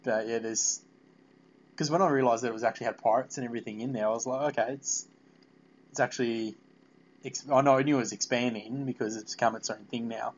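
A man's voice: mid-range voice, fairly even intonation. Man podcasting, dull lo-fi sound. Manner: halting, meandering. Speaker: podcast host, interviewer. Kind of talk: casual fan interview chat.

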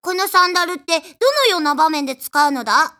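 A woman's voice: high-pitched, quick pace, bright, forceful tone, soft background music. A woman playing a small, childlike messenger god, cheeky, smug and unapologetic, with cutesy phrasing in a comedic audio drama. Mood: curious, insistent.

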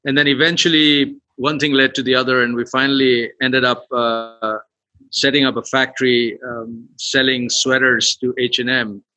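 A man's voice: mid-range voice, level tone, moderate pace, bright, forceful tone, no echo. A man from Nepal with a Nepali accent, answering conversationally as an interview guest over a dull, lo-fi connection. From western Nepal. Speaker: podcast guest, tour company founder.

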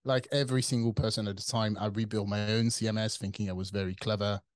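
A man's voice: fairly low pitch, little pitch movement, quick pace, no echo, studio quality. A man in his forties from France with a French accent, talking casually as an interview guest, thinking aloud with fillers and restarts. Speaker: guest, tech company CTO.

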